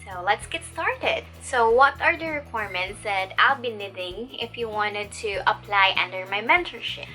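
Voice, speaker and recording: A woman's voice: medium pitch, normal speed, hardly any pauses, pushed and bright, soft background music. A young adult woman, an online English teacher chatting upbeat to her viewers, calling them guys. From Philippines. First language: Tagalog. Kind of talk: casual talk to camera.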